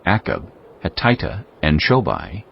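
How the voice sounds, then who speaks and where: A man narrating scripture from a script in a measured, formal manner, as part of a dramatized audio reading. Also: sits low, huge pitch swings, vocal fry, lo-fi sound, a little hiss or hum. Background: music.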